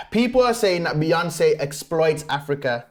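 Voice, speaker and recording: A young British man co-hosting a casual talk show, jokey and animated, laughing often as he talks. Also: fairly high pitch, slow pace. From United Kingdom. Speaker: co-host. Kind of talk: casual chat.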